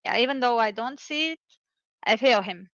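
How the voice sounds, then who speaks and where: A woman's voice: a little high, lively intonation, moderate pace, creaky, dry recording, highs cut off. A woman from Serbia, calling in to a discussion on belief, speaking hesitantly with many fillers and restarts over a video call. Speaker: caller. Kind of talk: casual debate chat.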